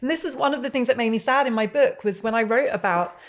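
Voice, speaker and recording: A woman's voice: on the high side, fast. A woman and author in a casual chat, thoughtfully explaining her ideas and often saying "like". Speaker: author and guest.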